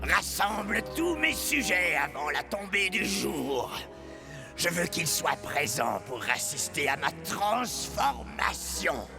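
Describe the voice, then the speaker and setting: Gravelly, pompous villain mouflon. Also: male voice, sits high, very animated, slow, music in the background, full character voice. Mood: angry. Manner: pompous, theatrical, menacing. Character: tyrannical, gluttonous villain, big male mouflon craving eternal life. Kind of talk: animated children's film dub.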